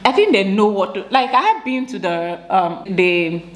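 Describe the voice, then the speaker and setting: A woman's voice: mid-range voice, big pitch swings, moderate pace, reverberant. A young woman, hosting a casual indoor interview and asking one question after another. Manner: curious, prompting with many questions. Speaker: host. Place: indoor room. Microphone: studio mic on stand.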